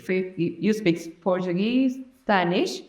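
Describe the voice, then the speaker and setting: A woman's voice: medium pitch, takes it slowly, darkish tone. A woman hosting a casual podcast interview, chatty and eager, often chiming in with short replies. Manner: chatty, eager, frequent backchannels. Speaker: podcast host. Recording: roomy echoey room.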